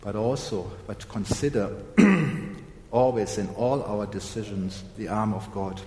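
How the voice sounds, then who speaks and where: A hoarse man preaching a sermon, slow and deliberate, clearing his throat often. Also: mid-range voice, a little mellow, slight background noise. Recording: echoey hall with long reverb.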